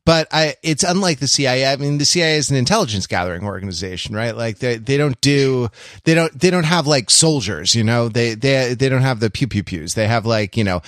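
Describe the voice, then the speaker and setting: A man chats as a podcast host in a dry, close-sounding voice of middling pitch. He speaks haltingly, repeating words as he works toward his point. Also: expressive, a bit bright, dry recording. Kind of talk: casual film discussion.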